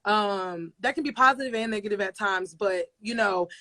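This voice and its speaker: A young woman speaks casually and with emphasis as an interview guest, building her points by repeating phrases. Her voice sits at an ordinary middle pitch. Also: normal speed, bright, forceful tone. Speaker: radio personality guest.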